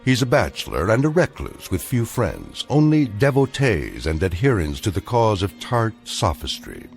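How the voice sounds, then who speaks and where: An actor as a grave, ominous narrator of uncanny tales, giving measured, ironic scripted narration. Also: male voice, on the low side, huge pitch swings, soft background music. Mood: wry, grave.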